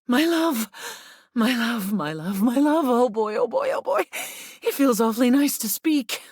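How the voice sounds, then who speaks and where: Casting: female air spirit, game character, theatrical, very animated pitch, slow pacing, dry studio sound. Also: breathy edge, dry recording, heavily acted. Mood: awed, giddy. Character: air personified as woman, aloof ancient spirit turned giddy chatterbox. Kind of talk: video game voice acting.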